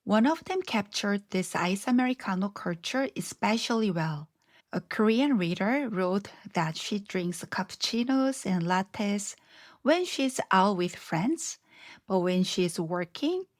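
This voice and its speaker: A middle-aged woman hosting her podcast, reading scripted narration in a reflective, unhurried way, her voice a little scratchy from illness. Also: mid-range voice, dry recording, studio quality. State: slightly scratchy voice after strep throat. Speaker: podcast host.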